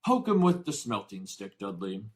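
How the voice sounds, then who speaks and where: A man voicing a gruff, overbearing middle-aged uncle in a storybook, barking out orders. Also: lowish voice, big pitch swings, normal speed. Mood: bossy.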